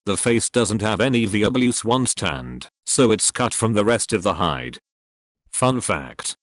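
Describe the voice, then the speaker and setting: A man narrates forum posts in a steady, plain scripted read. His voice sits somewhat low. The recording is dry and close, with no room sound. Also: no echo.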